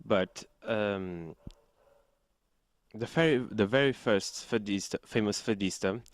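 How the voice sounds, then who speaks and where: A young man from Portugal answering interview questions in halting English with restarts. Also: fairly low pitch, no room sound, clean studio sound. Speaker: student interviewee.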